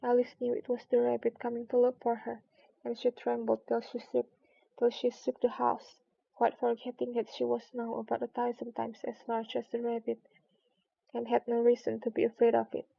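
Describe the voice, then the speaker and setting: A woman narrating a storybook in an expressive read-aloud style. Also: on the high side, normal speed, vocal fry, breathy edge. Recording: dull lo-fi recording.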